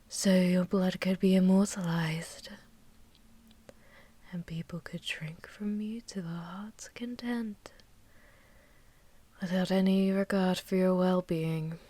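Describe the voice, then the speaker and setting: A woman performs a seductive female vampire warlord in a fantasy ASMR audio roleplay. She speaks slowly and languidly, mostly in an intimate whisper, with teasing menace and possessiveness. The sound is dry, with hardly any room to it. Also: mid-range voice, mellow, no echo.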